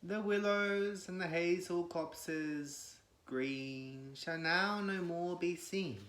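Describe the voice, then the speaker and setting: A man gives a solemn, declamatory, measured recitation of classical poetry. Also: a little high, a bit bright. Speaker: poetry reader. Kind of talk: dramatic recitation of classical verse. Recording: echoey room.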